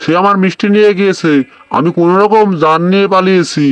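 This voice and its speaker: A man voicing an excitable, pleading village husband in a cartoon. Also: high voice, fast, clean tone, dry recording, lightly acted. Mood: frightened, alarmed. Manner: panicky, exclaiming, pleading. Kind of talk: Bengali cartoon voice acting.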